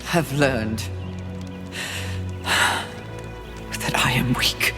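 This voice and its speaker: A woman's voice: rumbling low, extremely slow, exaggerated voice. A woman playing a proud, wrathful female warrior in a video game, with terse, imperious delivery. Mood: wounded pride. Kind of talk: video game cutscene acting. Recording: loud music under the voice.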